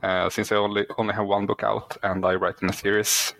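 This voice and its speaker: Deep flat-voiced Swedish man. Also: a little fast, creaky, dry recording, poor audio. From Sweden. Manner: hesitant, many fillers. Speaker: guest author. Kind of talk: podcast interview.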